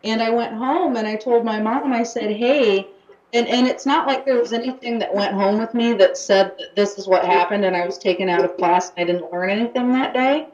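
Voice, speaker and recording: A woman's voice: on the high side, fairly quick, darkish tone. Chatty young woman, roomy muffled sound. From United States. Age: young adult. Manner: chatty, with fillers and restarts. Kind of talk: casual radio talk. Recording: roomy, muffled radio recording.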